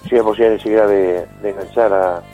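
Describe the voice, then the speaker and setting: A man in his fifties with an accent from Spain, giving an informal interview as an activist guest, halting and fond of tag questions. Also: fairly low pitch, steady tone. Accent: Spanish from Spain. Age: 50s. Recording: dull band-limited call with music behind.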